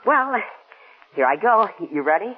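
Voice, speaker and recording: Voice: medium pitch, very animated, measured pace, slightly rough. A performer playing an eager, trusting young boy swimmer in 1940s radio drama style, on a tinny old broadcast. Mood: eager, boyish.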